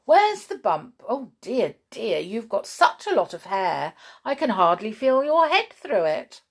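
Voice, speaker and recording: A kindly old gnome doctor from a children's storybook, acted out by a woman. He is fussy and soothing, bustling through his words and repeating himself. His pitch lifts and swings with every phrase. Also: sing-song, gravelly, husky, full character voice. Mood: bustling concern. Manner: soothing, repetitive, brisk.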